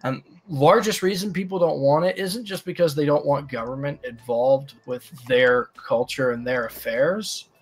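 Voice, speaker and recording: A man in a casual livestream discussion, explaining his opinions in a halting way and repeating phrases. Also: a little high. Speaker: livestream talk participant.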